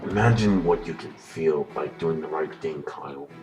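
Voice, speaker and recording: A man playing a menacing puppet mastermind in a horror audio drama, slow, deliberate, formal and coldly moralizing. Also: extremely low pitch. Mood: grave, challenging. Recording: distant voice under loud music.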